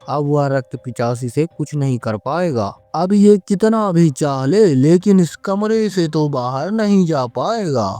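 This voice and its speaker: A man acts out a fretful village politician in a horror cartoon, high in pitch and soft-edged in tone. He exclaims and pleads in homely speech, captured dry and close. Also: expressive, a little slow, few pauses, dry recording, soft background music. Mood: uneasy relief. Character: worried village politician protecting his servant, excitable male. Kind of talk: animated horror story dialogue.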